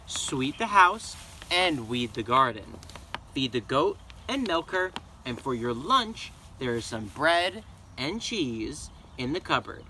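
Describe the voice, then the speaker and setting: A man's voice: mid-range voice, sing-song, slow, bright, forceful tone, slight background noise, full character voice. A man voicing a strict old village witch woman giving instructions in a storybook reading. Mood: stern, warning.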